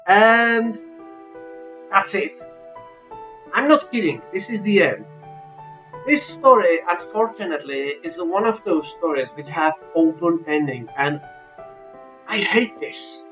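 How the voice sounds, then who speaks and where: A man with a non-native English accent giving an informal, unscripted game review, halting but emphatic about his opinions. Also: high-pitched, animated, fairly bright tone, faint music behind. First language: not English.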